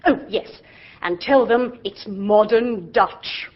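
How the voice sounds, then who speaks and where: An actress playing a brisk, bossy middle-aged aunt in a radio drama. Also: female voice, medium pitch. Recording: dull lo-fi audio.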